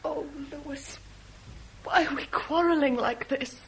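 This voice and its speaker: An anguished, tragic woman in a vintage radio play. Her high voice is breathy and plaintive. A little noise sits under the old broadcast recording. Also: slow. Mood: anguished, pleading. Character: tragic, anguished woman torn between two lovers. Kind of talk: 1970s British radio drama acting.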